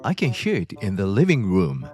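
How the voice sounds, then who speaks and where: An actor playing a selfish, demanding middle-aged husband in a dubbed comic story, dismissive and entitled, with music behind him. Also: male voice, on the low side, huge pitch swings, moderate pace, a little mellow. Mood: annoyed, demanding.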